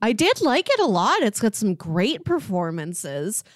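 A woman's voice: mid-range voice, huge pitch swings, a little slow, dry recording, clean studio sound. A woman co-hosting a podcast, talking through films in a casual, conversational style. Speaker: podcast co-host. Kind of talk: casual film discussion podcast.

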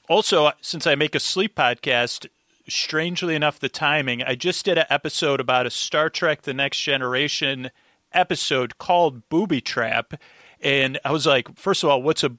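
A man chatting casually as a podcast guest, halting and often interrupting himself, close on the microphone. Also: medium pitch, pushed and bright, no room sound. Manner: rambling, halting, self-interrupting. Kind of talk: casual recap podcast chat. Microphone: close, dry podcast microphone.

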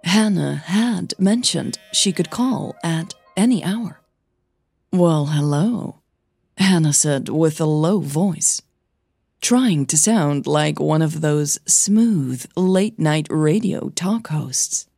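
A woman's voice: low voice, expressive, slow, vocal fry, darkish tone, slightly rough, dry recording, studio quality, faint music behind. A woman narrating a fiction audio drama in a scripted storytelling style.

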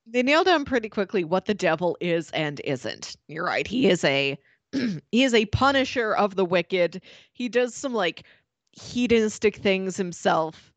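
Lively woman chatting, close dry sound. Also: mid-range voice, measured pace, no echo. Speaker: podcast co-host. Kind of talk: casual chat about fiction.

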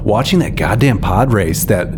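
A man's voice: lowish voice, normal speed. A man in his thirties chatting casually as a co-host, wry and self-mocking, with some casual swearing. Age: 30s. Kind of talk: casual conversation. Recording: noisy recording with steady hiss.

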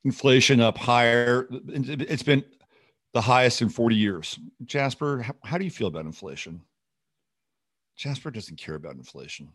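A man's voice: medium pitch, lively intonation, moderate pace, a bit bright, breathy edge. A man hosting a show with rambling, skeptical, sardonic and often profane unscripted political commentary.

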